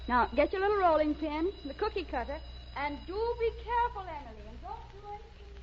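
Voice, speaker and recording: Gentle high-voiced mother, old radio. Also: sing-song, quick pace. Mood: busy, indulgent. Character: gentle, soothing mother of a little girl. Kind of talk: 1930s radio commercial skit acting. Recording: muffled, noisy 1930s radio recording.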